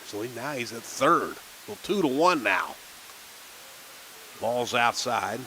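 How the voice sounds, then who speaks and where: A man's voice: takes it slowly, slight background noise, low-quality recording. Folksy small-town baseball radio announcer man. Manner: relaxed folksy chatter between pitches, rising excitement on big plays. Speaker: play-by-play announcer. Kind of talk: local radio baseball commentary.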